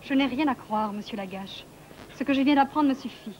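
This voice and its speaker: Actress as proud young sweetheart, comic drama, formal, reserved speech in a high voice. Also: female voice, fairly quick, darkish tone, dull audio, slight background noise, natural voice. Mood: coldly hurt.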